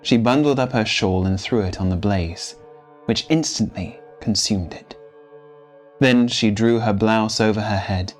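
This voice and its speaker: A man reading literary fiction as a storyteller, with measured, theatrical phrasing. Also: on the low side, faint music behind. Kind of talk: dramatic literary narration.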